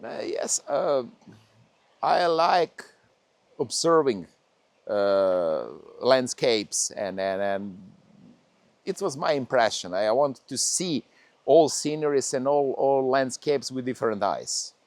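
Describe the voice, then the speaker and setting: A man from Serbia speaking English with a Serbian accent, a painter being interviewed, talking haltingly with many pauses and fillers. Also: mid-range voice, animated, darkish tone, dry recording. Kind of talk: interview conversation.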